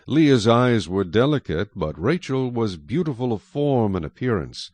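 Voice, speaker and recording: A man narrating scripture dramatically, in an expressive storytelling manner. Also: mid-range voice, moderate pace, no echo.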